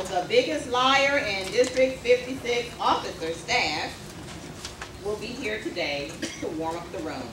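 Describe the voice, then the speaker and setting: A woman making a formal announcement to an audience as she introduces a contest speaker. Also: a little high. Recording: roomy, distant mic, clearly noisy.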